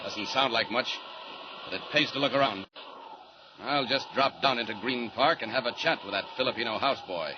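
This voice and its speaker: An actor with an American accent playing a confident, bold superhero thinking aloud in declamatory 1940s radio style. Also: male voice, medium pitch, brisk, close to an everyday voice. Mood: confident, purposeful. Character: confident, bold male superhero talking to himself. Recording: noisy, muffled 1940s radio recording.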